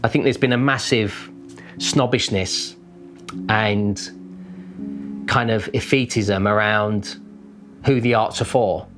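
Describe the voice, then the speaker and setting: A man's voice: on the low side, slow pace, long pauses, over music. A man with a London accent, a painter speaking reflectively in a documentary interview, informal and thoughtful. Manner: thoughtful, informal, with many "kind of" fillers. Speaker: working-class painter.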